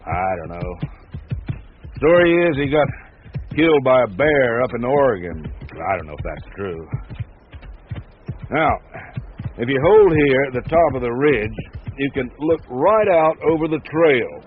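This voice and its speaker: An actor playing an easygoing, laconic ranch hand with a folksy drawl from the American West, in a radio drama. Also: male voice, medium pitch, big pitch swings, unhurried, long pauses, faint music behind. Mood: folksy storytelling. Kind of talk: naturalistic radio drama acting. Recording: tinny narrow-band radio sound, background noise.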